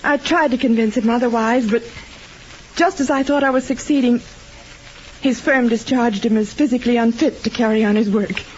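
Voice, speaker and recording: A woman's voice: fairly high pitch, steady tone, moderate pace, a little mellow, natural voice. A woman playing an anxious, devoted wife in melodramatic old-time radio drama style. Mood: distraught. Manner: earnest, melodramatic. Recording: dull, noisy old radio recording.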